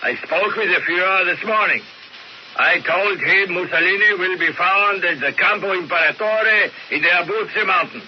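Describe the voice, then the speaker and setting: Commanding high-pitched German commando leader played by an actor. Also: male voice, lightly acted. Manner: military, deliberate briefing delivery. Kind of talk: radio drama acting. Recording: muffled radio recording with noise.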